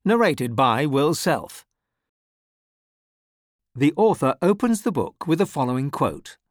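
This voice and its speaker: A man announces an audiobook in a scripted style. His voice is a little high with lively swings of pitch, taken slowly in a dry recording. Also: no room sound.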